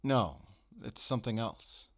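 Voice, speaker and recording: A man acting in an audio roleplay as a guarded, middle-aged werewolf hunter, weary and stern yet gentle, speaking in a measured, earnest way. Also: medium pitch, animated, vocal fry, dry recording, studio quality. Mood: calm, reassuring.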